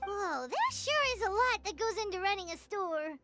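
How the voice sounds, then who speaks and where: A woman voicing a small, childlike floating companion in a video game, squeaky, curious and chirpy. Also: very animated, normal speed, sharp tone, faint music behind, full character voice.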